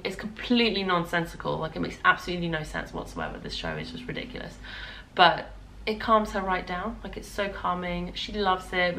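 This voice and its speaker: Female British vlogger, lowish hoarse voice with fry, chatty and informal. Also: sharp tone, slight background noise, poor audio. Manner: rambling, chatty. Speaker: knitting vlogger and designer. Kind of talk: casual vlog chat.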